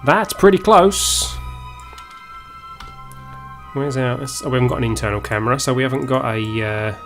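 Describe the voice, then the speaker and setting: A man's voice: medium pitch, moderate pace, music in the background. A man from Essex with a southern English accent, hosting a live gaming stream in a casual, unscripted way, often muttering to himself as he plays. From Essex, England. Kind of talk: casual live gameplay commentary.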